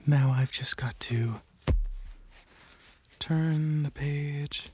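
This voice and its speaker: A performer of unclear sex voicing a calm, polite dragon alien researcher in a soft, whispery, gently halting ASMR roleplay, close to the microphone. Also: medium pitch, a little hiss or hum. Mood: quiet, absorbed. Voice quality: soft, breathy, often whispery. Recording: close, dry, slightly muffled recording.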